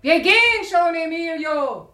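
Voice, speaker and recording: An actress playing a spirited, mocking tavern guest in a radio play, teasing and pointed. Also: female voice, very high-pitched, expressive, a little slow, bright, forceful tone, reverberant, exaggerated voice. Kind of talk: German radio play acting.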